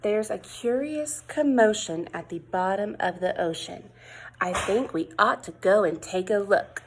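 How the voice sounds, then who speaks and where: A woman teacher reading a picture book aloud to young children, expressive and sing-song, coaxing with questions and exclamations. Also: medium pitch, measured pace, slightly rough.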